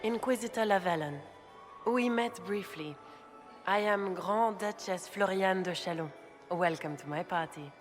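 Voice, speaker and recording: A woman's voice: medium pitch, a little slow, close to an everyday voice. Woman acting poised noblewoman, courtly game dialogue, veiled intrigue, long reverb, faint music. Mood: gracious, conspiratorial. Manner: formal, courtly, insinuating. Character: poised, scheming noblewoman, grand duchess hosting a ball. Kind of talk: dramatic video game dialogue.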